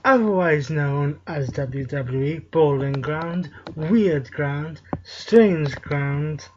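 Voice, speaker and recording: A man's voice: a little high, drawn-out words, muffled recording, background noise. A man co-hosting a wrestling talk show in casual chat, making jokey wordplay with names.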